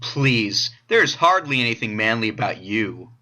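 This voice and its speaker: A man's voice: lowish voice, very animated, measured pace, bright, forceful tone, full character voice. A man playing a cocky, sarcastic teenage boy who taunts people in an amateur anime audio drama. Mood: taunting.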